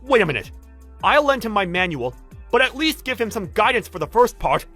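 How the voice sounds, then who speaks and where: A man's voice: mid-range voice, expressive, moderate pace, faint music behind, close to an everyday voice. A man voicing an earnest, kind young office worker in an anime-style dub, with an emphatic way of talking. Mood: indignant.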